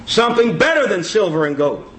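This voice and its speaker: A man preaching an informal sermon in a church, folksy and self-mocking, repeating his phrases and exclaiming loudly. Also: sits high, big pitch swings, fairly quick, a little hiss or hum.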